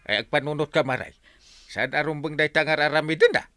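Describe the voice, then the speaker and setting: An actor playing a scolding, comic village captain in an Ilocano radio comedy-drama. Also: male voice, fairly high pitch, fast talker, pushed and bright, no room sound, clean studio sound, faint music behind. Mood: exasperated.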